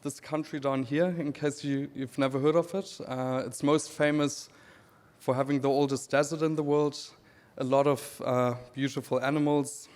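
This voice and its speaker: A man in his thirties from Namibia with a German accent, giving a relaxed conference talk with dry humor and many pauses. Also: medium pitch. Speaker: speaker presenting his creative work. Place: event hall. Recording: reverberant hall.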